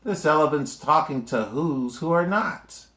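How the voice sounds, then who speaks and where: Rowdy, jeering monkey brothers scoffing loudly, as a dad puts them on at story time. The taunts come slowly and echo around the room. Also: male voice, on the high side, animated, pitched above the performer's own voice. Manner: jeering, shouting. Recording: roomy echo.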